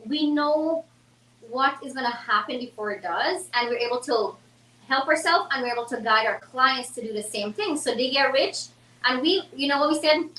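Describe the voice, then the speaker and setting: A woman's voice: high-pitched, a bit bright, recorded from a distance, slight background noise. A woman realtor, a guest on a talk show, chatting casually and animatedly, laughing often. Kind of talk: casual chat. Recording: roomy sound from off mic.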